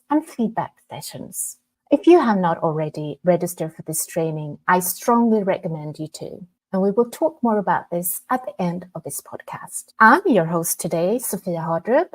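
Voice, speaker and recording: A woman hosting a podcast, reading a prepared introduction in a measured way and then asking questions. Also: lowish voice, darkish tone, rough recording. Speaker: podcast host. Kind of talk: scripted podcast introduction and interview.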